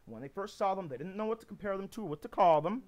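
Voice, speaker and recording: A man's voice: sits high, animated, very fast. A man with a New York accent rambling emphatically to camera in a casual home video. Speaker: vlogger.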